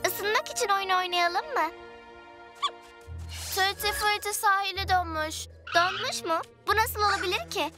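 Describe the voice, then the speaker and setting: A woman voicing an excitable, wide-eyed little baby girl with a squeaky childlike voice in a dubbed cartoon. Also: a little slow. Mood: amazed, puzzled. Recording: studio dub under children's music.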